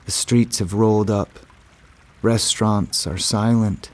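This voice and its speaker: An actor playing a haunted man who confides a dark secret in a halting, deliberate horror monologue, with repeated broken phrases. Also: lowish voice, steady tone, lightly acted. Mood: brooding. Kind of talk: slow, scripted dramatic monologue for an audio horror drama. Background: music.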